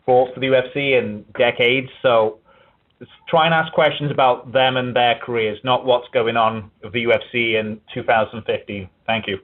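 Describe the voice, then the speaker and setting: Male call moderator, mid-pitched, direct and corrective, dull phone sound. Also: fairly even intonation, normal speed. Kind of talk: spoken instructions on a media call. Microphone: telephone. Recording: dull conference call line.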